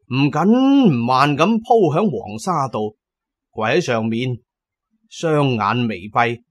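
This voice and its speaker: Audio drama narrator: male, mid-range voice, dramatic storytelling delivery. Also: medium pitch. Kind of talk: dramatic first-person storytelling.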